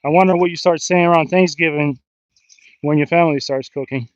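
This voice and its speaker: American male live streamer, slightly high voice, rambling and self-mocking, dry but muffled lo-fi outdoor recording. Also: fairly even intonation, a little fast, no room sound. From New Jersey, United States. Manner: rambling, self-deprecating, joking. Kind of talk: casual live stream chat. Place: outdoors in a river in a park.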